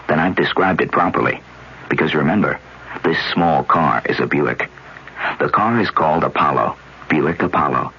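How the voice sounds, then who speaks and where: A man reading a 1970s radio commercial in a conversational, understated, measured way. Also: a bass voice, sharp tone, slightly rough. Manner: measured, understated, folksy salesmanship. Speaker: commercial announcer. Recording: muffled vintage radio broadcast.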